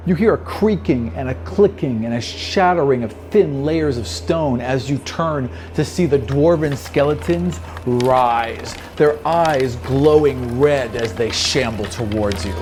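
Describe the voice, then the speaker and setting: A man's voice: medium pitch, unhurried, hardly any pauses, darkish tone. A man working as game master and podcast host, narrating a fantasy tabletop game in a conversational way. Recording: background music under the voice. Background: music.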